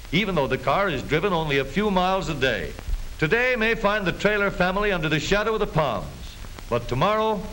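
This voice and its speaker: A man's voice: on the high side, a bit bright. An American man delivering scripted 1930s newsreel narration in a jaunty, folksy showman's manner. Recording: hissy, noisy 1930s film soundtrack.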